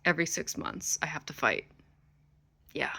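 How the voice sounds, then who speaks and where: A woman's voice: low-pitched, sing-song, normal speed, creaky, bright, forceful tone. A woman vlogging to camera, stressed and exasperated, venting with frequent swearing. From United States. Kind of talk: ranting to camera.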